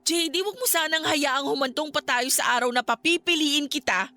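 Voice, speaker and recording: Bright, high-voiced anxious young Filipino girlfriend. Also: normal speed, no room sound, soft background music. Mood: hurt, reproachful. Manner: emotional, pleading. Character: anxious young girlfriend of a vlogger, frightened and fed up. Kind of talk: Tagalog radio horror drama acting.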